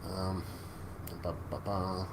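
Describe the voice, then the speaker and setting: A man in his forties hosting a casual live stream, chatting with viewers in a relaxed, joking way. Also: sits low, slow. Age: 40s. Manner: laid-back, joking, chuckles often. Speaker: livestream host. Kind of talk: casual live chat reading viewer comments. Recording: noticeable background noise.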